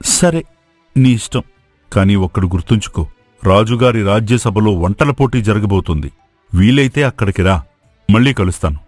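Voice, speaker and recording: A man's voice: on the low side, normal speed, long pauses, a little mellow, no echo, natural voice. A man playing a frail, noble old man in a moral story, speaking earnestly and solemnly. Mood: kindly, encouraging. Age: older. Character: frail, starving old man lost in forest, grateful and noble. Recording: voice over background music.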